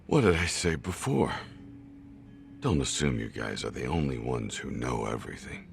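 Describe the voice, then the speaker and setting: Very deep, gravelly underworld informant. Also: male voice, lively intonation, moderate pace, slight background noise, full character voice. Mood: smug, amused. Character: sly, gravelly male underworld informant, smug and knowing. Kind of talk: video game cutscene acting.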